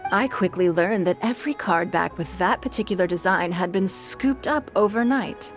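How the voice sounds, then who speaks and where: A woman playing a shrewd, refined, wealthy businesswoman in a video game, speaking in a measured, formal, eloquent way. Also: medium pitch, soft background music, lightly acted. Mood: composed, informative. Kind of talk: game dialogue acting.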